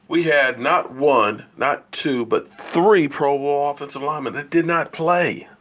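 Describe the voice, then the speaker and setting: A man's voice: fairly high pitch, unhurried, fairly bright tone, dull audio. A man hosting a sports opinion livestream while he has a cold, arguing emphatically and firing off rhetorical questions. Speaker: sports show host.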